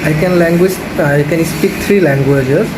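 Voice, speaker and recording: A man's voice: fairly high pitch, moderate pace. A young Bangladeshi man whose first language is Bangla, answering mock speaking test questions with many hesitations, recorded close on a phone in a small room. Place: small indoor room with curtains. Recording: echoey room with background noise.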